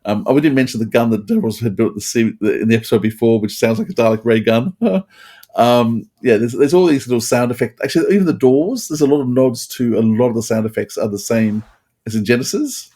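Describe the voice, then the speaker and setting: A man hosting a casual review chat, rambling and halting, often repeating his phrases. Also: medium pitch, expressive, mellow. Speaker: podcast host.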